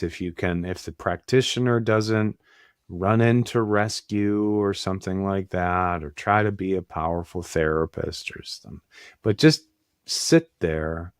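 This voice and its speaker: A man hosting a reflective conversation, talking slowly and thoughtfully and searching for his words as he goes. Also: low-pitched, a little mellow, no echo, studio quality. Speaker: host, therapist.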